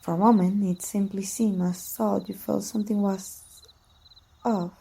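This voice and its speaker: Girl doing a wounded immortal warrior for asmr roleplay, slow n really dark soft voice, proud but teasing, old-timey formal talk. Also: medium pitch, fairly even intonation, vocal fry, husky. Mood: uneasy, hesitant. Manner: archaic formal speech, teasing, hesitant murmurs.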